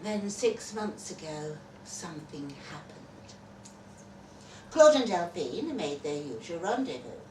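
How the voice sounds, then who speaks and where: A woman giving an expressive live reading of a story, with wry delivery and dramatic pauses. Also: fairly low pitch. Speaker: reader of a short story at a festival. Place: live festival reading in an echoey room. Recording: echoey venue, far from mic, noisy.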